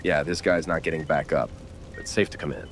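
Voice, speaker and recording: A man playing a grim, uneasy police officer in a horror audio drama, gruff and halting in his delivery. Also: extremely low pitch, fairly even intonation, slight background noise.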